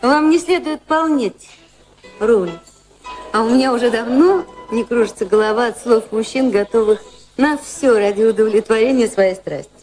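Актриса в роли сдержанная светская красавица, высокий голос. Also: female voice, a little high, moderate pace, a little mellow, close to an everyday voice, rough recording. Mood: cool rebuff. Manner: composed, dryly rebuffing, sincere. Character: cool, candid, wistful high-society beauty, over thirty. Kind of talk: radio play acting. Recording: music playing behind the voices.

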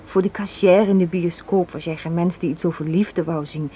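A woman's voice: on the low side, fairly even intonation, normal speed, close to an everyday voice. An actress playing a hard-minded, cynical young woman in a Dutch radio play, arguing and lecturing with rhetorical questions. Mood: bitter, insistent. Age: young adult. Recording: dull, noisy old radio recording.

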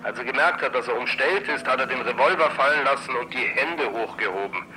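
An actor playing a police lieutenant in a radio play, reporting in factual, clipped phrases. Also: male voice, mid-range voice, animated, fairly quick, sharp tone, slight background noise, rough recording. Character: police lieutenant briskly giving news of an arrest.

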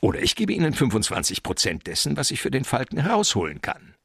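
An actor playing a suave, wily criminal mastermind in a German radio crime drama, speaking in a courtly, verbose and pompous way. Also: male voice, mid-range voice, wildly swooping pitch, fast talker, creaky, a bit bright, husky, no room sound, studio quality, full character voice. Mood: suave bargaining.

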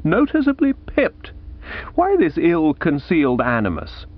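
A man voicing a breezy, genial young gentleman talking with his aunt. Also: a little high, wildly swooping pitch, unhurried, dull audio, voice pushed up, heavily acted. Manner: breezy, jaunty, upper-class. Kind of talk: audiobook character voice.

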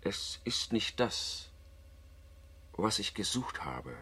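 An actor playing a troubled, analytical astronaut scientist who speaks in a halting, pensive way in a radio play. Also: male voice, on the low side, big pitch swings, bright, forceful tone, slightly rough, heavily acted. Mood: weary, disillusioned.

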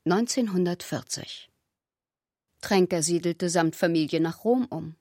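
Female radio play narrator, scripted delivery, slightly low pitch, dry sound. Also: unhurried, a little mellow, no echo.

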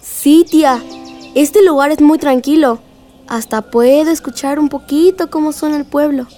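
Woman playing a high-voiced, curious little niece. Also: moderate pace, warm tone, soft background music, lightly acted. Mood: bright, curious. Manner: inquisitive, playful. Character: curious, cheeky young niece, a girl. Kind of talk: radio drama acting.

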